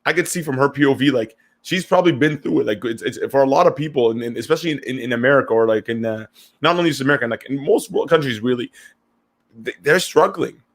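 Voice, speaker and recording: A young man from Syria co-hosting a casual podcast chat, talking in quick bursts with lots of stammered restarts. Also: medium pitch. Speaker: podcast co-host.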